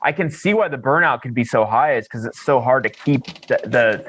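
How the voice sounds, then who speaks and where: A man's voice: mid-range voice, fairly quick, a bit bright, slight background noise. A man chatting casually as a podcast co-host, swearing freely and poking fun at himself by acting out imagined quotes. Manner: sweary, self-deprecating, acts out imagined quotes.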